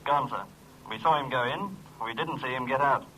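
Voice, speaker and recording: Matter-of-fact detective, telephone-filtered, clipped and bright, slightly noisy vintage radio recording. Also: male voice, medium pitch, moderate pace. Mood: urgent, factual. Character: terse male police detective reporting by telephone. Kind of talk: old radio crime drama acting.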